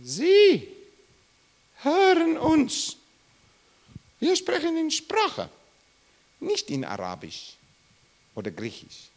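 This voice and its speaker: Emphatic preacher man, swooping pitch. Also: wildly swooping pitch, very slow, long pauses, darkish tone. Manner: emphatic, rising and falling sharply, repeats questions to listeners. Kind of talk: Bible teaching sermon.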